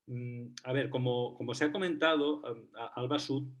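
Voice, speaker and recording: A man's voice: mid-range voice, fairly even intonation, normal speed, dull audio. A man with a Catalan accent, a researcher speaking as a debate guest, hesitant with many fillers and restarts. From Catalonia, Spain. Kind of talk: debate talk.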